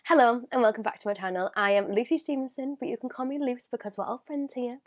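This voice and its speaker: A woman's voice: fairly high pitch, fairly even intonation, fast. Congested woman vlogger with a cold. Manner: chatty, giggly, drops to a near whisper while applying makeup. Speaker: beauty vlogger. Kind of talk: casual makeup review.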